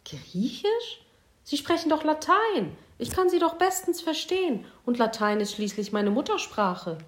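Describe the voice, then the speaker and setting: A woman's voice: sits high, sing-song, unhurried, reverberant, voice pushed up. A woman voicing an amazed festival visitor from Phoenicia who speaks with astonished emphasis.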